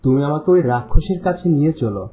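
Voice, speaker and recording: A man's voice: on the high side, lively intonation, fast talker, dark tone, dull audio, a little hiss or hum, lightly acted. A man voicing a brave, earnest young orphan hero in a cartoon story, speaking in a reassuring, determined way.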